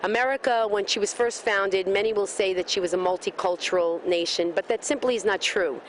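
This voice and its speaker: A woman's voice: mid-range voice, brisk, slight background noise. A woman speaking fervently at an outdoor street rally, answering an interviewer with speech-like rhetorical questions. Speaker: rally protester being interviewed.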